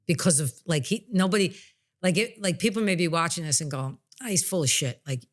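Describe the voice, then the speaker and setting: A woman's voice: lowish voice, a little fast. Casual woman talking as a psychic medium. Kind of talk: casual conversational explaining.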